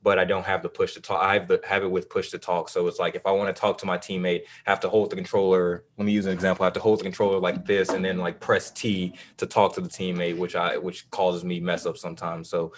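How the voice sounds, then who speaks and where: A man with a low voice co-hosting a podcast, chatting loosely about games with lots of fillers and restarts. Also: flat delivery, fast, hardly any pauses, slight background noise. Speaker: podcast co-host. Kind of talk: casual gaming podcast chat.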